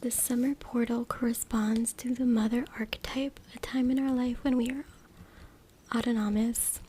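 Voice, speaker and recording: Woman, hushed ASMR whisper, breathy, even delivery, close USB mic, noisy recording with clicks. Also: fairly high pitch, normal speed. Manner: hushed, informal. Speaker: ASMR creator. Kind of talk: whispered ASMR reading with casual asides. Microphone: close USB microphone. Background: tapping, scratching, clicks.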